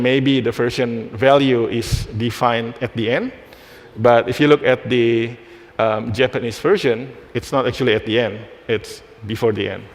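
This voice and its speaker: Halting man presenting in a reverberant hall. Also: medium pitch. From Indonesia. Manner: halting, restarts phrases while demoing. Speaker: conference speaker. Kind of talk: live technical presentation. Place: conference hall stage.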